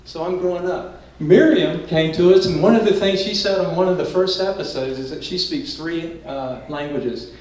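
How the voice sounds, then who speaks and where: An older man introduces his panel, reflective and good-humored, his voice pitched a little high for a man. He sounds far from the mic in a strongly echoing hall, with faint noise underneath. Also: moderate pace, few pauses, a little mellow. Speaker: event host. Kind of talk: introductory talk to an audience. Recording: echoey room, distant mic.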